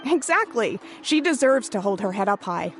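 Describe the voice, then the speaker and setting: A woman's voice: mid-range voice, very animated, normal speed, soft background music. A woman playing an earnest, respectful young tribe messenger in a video game. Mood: earnest, reassuring. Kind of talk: video game character acting.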